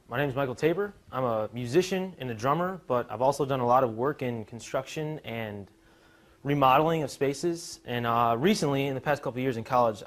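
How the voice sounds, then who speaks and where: A young man from the Chicago suburbs giving an informal, conversational student presentation, explaining technical material. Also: medium pitch. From Chicago suburbs, USA. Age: young adult. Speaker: university student presenter, musician and drummer.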